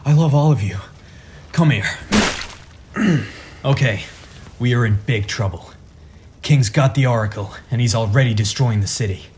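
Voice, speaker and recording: A man's voice: mid-range voice, moderate pace, long pauses, very noisy, natural voice. A man playing a brash, protective superhero father who leads his team, earnest and wry, in a radio play. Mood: relieved, loving.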